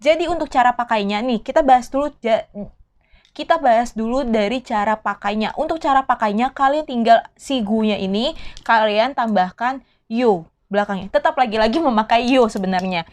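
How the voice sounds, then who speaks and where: A woman's voice: on the high side, moderate pace. A woman teaching a casual online language lesson, chatty, asking her viewers questions and slipping in English words.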